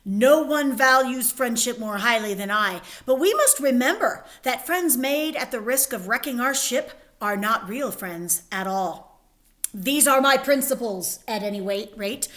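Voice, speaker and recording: A woman's voice: high-pitched, fairly bright tone. A woman reading the part of a stern male king who hands down commands in a formal, proclaiming way. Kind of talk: dramatic reading of a play.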